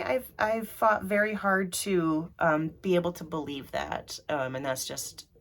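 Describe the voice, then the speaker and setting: Woman, deep voice, froggy and congested, rambly with lots of ums, casual vlog. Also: gravelly, a bit bright. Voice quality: throaty, froggy. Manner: rambly, many ums and restarts. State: congested from allergies. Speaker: vlogger.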